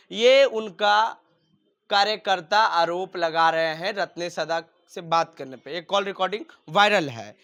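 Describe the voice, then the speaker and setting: A man's voice: high-pitched, fairly bright tone. A man working as a news reporter, introducing and questioning a guest in a political interview.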